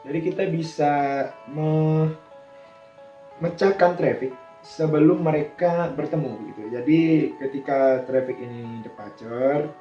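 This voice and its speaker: A man's voice: fairly high pitch, steady tone, unhurried, lo-fi sound. A man giving an informal, explanatory tutorial, chatting and thinking aloud as he poses questions and answers them. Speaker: air traffic controller presenting a tutorial. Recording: echoey room with background music.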